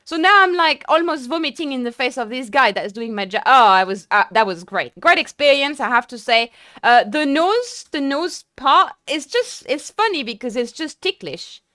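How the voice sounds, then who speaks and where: A young woman streaming her sim racing, chatting away with viewers in an excitable, rambling way. Her voice sits a little high, and the close mic sounds dry and tight with no room around it. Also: normal speed, no room sound. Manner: chatty, excitable, lots of fillers and restarts. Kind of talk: casual live-stream chat.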